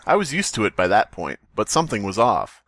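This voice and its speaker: A man's voice: fairly low pitch, sing-song, a little fast, a bit bright, no echo, clean studio sound. A man co-hosting a podcast, bantering and reading in a casual, rambling, joking way. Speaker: podcast co-host.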